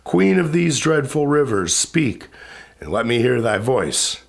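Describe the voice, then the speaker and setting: A man's voice: mid-range voice, very animated, unhurried, gravelly, exaggerated voice. A man playing a wrathful, sorrowing ancient spirit king in a recited epic poem, speaking in a grand, commanding, declamatory way. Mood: imperious. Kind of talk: dramatic epic verse recitation.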